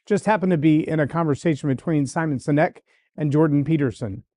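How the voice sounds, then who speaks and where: A man's voice: on the high side, a little fast, dark tone, no echo. A man hosting a leadership podcast, talking in an emphatic, list-building way. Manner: emphatic, repeats phrases in building lists. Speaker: podcast host.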